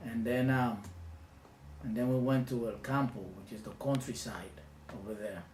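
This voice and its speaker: A man's voice: mid-range voice, fairly even intonation, darkish tone. Dominican man recalling childhood, echoey room. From Dominican Republic. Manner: reflective, hesitant storytelling with many pauses. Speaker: interviewee. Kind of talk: recounting childhood memories. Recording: echoey room with faint ambient noise.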